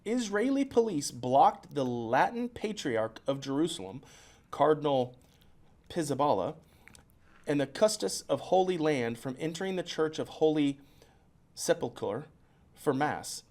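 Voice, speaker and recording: A man's voice: on the high side, animated, a little slow, a little mellow. A man co-hosting a podcast, casually reading news notes aloud and stumbling over unfamiliar place names. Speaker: podcast co-host.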